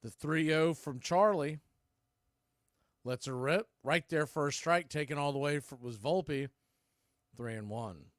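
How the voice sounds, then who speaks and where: An American man doing live baseball play-by-play in clipped, punchy calls with pauses, mixed with casual fan chat. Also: on the high side, no room sound, clean studio sound.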